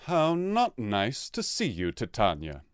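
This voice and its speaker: A man's voice: mid-range voice, wildly swooping pitch, unhurried, smooth, no room sound, clean studio sound. A man playing a jealous, haughty fairy king in an audio drama, imperious and scornful in his theatrical delivery. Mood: icy sarcasm. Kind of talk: modern-English audio drama acting.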